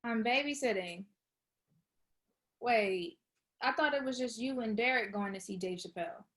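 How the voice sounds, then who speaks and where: Woman playing an awkward, high-voiced young woman. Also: normal speed, a bit bright, lightly acted. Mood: puzzled. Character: awkward, exasperated young woman Issa, reluctant babysitter. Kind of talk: comedy script table read.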